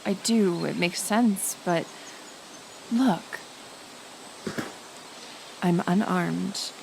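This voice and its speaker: Woman playing a kind stubborn woods healer lady, slow halting talk, bit of fry, insects n forest sounds behind, audio roleplay. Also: fairly low pitch, heavily acted, poor audio. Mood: gentle pleading. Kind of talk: roleplay audio drama, speaking directly to the listener. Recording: outdoor nature ambience, insect noise. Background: forest ambience with insects.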